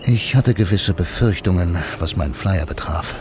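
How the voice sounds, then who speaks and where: A man's voice: low-pitched, normal speed, close to an everyday voice. An actor playing a sardonic blind bank employee who narrates his story in a radio play, dryly and laconically. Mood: deadpan, brooding. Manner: dry, deadpan, laconic. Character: sardonic blind male bank copywriter, a lonely self-styled noir hero. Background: music.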